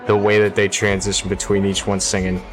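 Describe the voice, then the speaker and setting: A man's voice: on the low side, monotone, fairly quick, over music. A man in his thirties giving casual, slangy reaction commentary, thinking aloud into a close mic in a small room. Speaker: music reaction video host.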